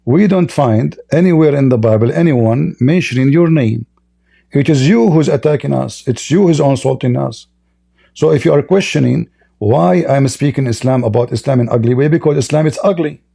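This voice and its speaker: A man's voice: medium pitch, normal speed, vocal fry, warm tone, breathy edge, dry recording. A man from the Middle East whose first language is Arabic, speaking English with an Arabic accent as a live debate host, combative and mocking, firing off rhetorical questions.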